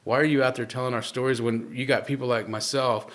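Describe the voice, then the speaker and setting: A man guest in a live interview, speaking earnestly in halting phrases full of fillers and restarts. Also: medium pitch, fairly even intonation, fairly bright tone.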